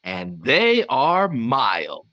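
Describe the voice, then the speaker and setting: A man playing a 1950s radio commercial announcer, selling with deliberate emphasis. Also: medium pitch, huge pitch swings, full character voice. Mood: assured, selling. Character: smooth-selling male cigarette commercial announcer. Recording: slightly dull band-limited sound.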